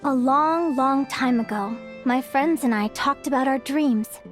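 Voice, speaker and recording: High-voiced, emotive young adventurer. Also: female voice, faint music behind. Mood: wistful, reflective. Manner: halting, self-questioning, emotive. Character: anguished, wistful young woman explorer, soft-hearted dreamer. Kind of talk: anime game voice acting.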